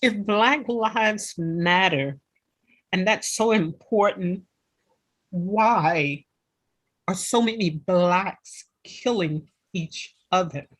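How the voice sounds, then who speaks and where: Female audience member, pointed questioner, dry sound, very deliberate delivery. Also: mid-range voice, fairly bright tone, slightly rough, no room sound. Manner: blunt and pointed. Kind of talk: question from the floor.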